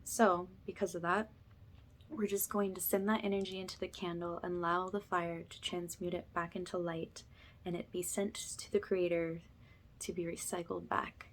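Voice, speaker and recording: Soft-spoken woman hosting spiritual ASMR. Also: on the low side, level tone, moderate pace, a little hiss or hum. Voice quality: soft, often slipping into whisper. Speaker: host, spiritual energy healer leading a session. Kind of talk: ASMR spiritual ritual, informal guided intention-setting.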